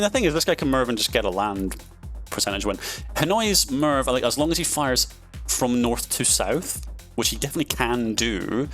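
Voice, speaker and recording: A man's voice: fairly low pitch, fairly bright tone. A man commentating his own strategy game in a casual style, thinking aloud with frequent ums and restarts and sudden surprised outbursts. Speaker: gaming commentator.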